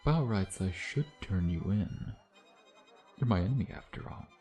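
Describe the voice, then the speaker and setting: Male actor as suave vampire, low pitch, swooping intonation, sardonic and flirtatious, playfully menacing, ASMR roleplay, music behind. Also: animated, normal speed, warm tone, close to an everyday voice. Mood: playful menace. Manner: sardonic, flirtatious, drawling taunts with murmured asides. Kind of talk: ASMR roleplay, intimate scripted acting.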